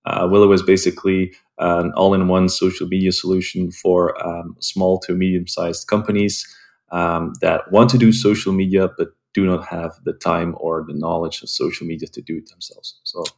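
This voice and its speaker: A young man from Belgium, a sales rep, calmly answering interview questions with frequent ums and uhs. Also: sits low, moderate pace. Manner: calm, hesitant with frequent ums. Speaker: guest, sales development rep.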